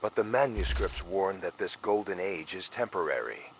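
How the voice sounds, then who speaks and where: Deep-voiced frightened scholar acted by a man, grave warning. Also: expressive, a little hiss or hum. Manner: grave, ominous, urgent, deliberate phrasing. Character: frightened scholar leaving a grim final warning recording. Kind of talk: analog horror scripted monologue.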